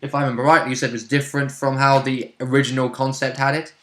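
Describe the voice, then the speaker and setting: A man's voice: mid-range voice, flat delivery, fast, fairly bright tone. A man chatting casually as a player about a tabletop role-playing game. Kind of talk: casual chat recapping a game session. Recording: roomy, echoey indoor recording.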